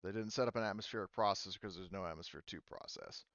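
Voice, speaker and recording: A man narrating a tabletop roleplaying game as game master, explaining things in a halting way. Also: low voice, vocal fry, a bit bright, no room sound, highs cut off.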